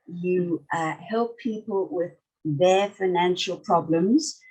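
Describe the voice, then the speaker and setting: A woman's voice: lowish voice, slow, a little mellow, slightly rough, rough recording. An older woman hosting a talk show, interviewing a guest in an effusive way, full of praise.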